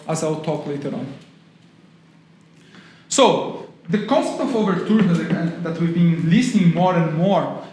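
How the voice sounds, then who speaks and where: A man's voice: high voice, a little mellow. A man with a Brazilian accent giving a talk at an event, engaging the audience with questions. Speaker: guest speaker, tourism lecturer. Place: event hall. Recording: echoey hall with faint noise.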